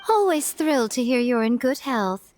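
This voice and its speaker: A woman's voice: fairly high pitch, expressive, moderate pace, a little mellow, no echo, lightly acted. A woman voicing a playful, wise female priestess in a game, with an elegant, teasing and amused manner. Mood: warm. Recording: game audio with faint music behind.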